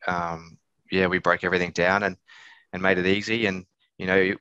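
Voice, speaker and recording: A man in his thirties, a business owner giving casual, halting interview answers, thinking aloud. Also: low voice, flat delivery, sharp tone, smooth, dry recording. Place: small office on a video call. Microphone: headset. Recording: slightly dull video-call audio.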